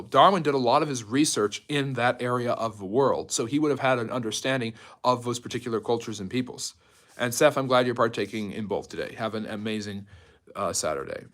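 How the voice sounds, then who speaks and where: A man's voice: mid-range voice, fairly quick, fairly bright tone. Chatty man hosting a history live stream. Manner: chatty, jumps between topics, reads out and answers viewer comments. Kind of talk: casual live Q&A talk mixed with informal history lecturing.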